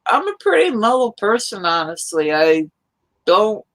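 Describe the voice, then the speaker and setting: A woman from New York chatting casually on a live stream, rambling in a loud, hyper way. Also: mid-range voice, big pitch swings, unhurried. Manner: rambling, hyper, self-described loud talker.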